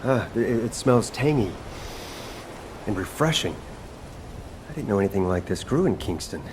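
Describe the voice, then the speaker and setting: A man voicing an eager young video game fighter with a cook's passion. His delivery swings freely in pitch, casual and playful. Clear noise and game ambience sit behind the voice. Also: lively intonation, normal speed, close to an everyday voice. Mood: enthralled. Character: eager, easygoing young male fighter who loves cooking.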